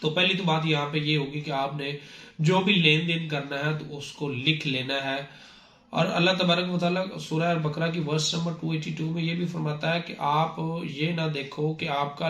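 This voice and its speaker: A man's voice: a little high, fairly bright tone. A man with a Pakistani accent giving an informal explanatory lecture, didactic and repeating his points as a presenter. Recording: echoey, reverberant room sound.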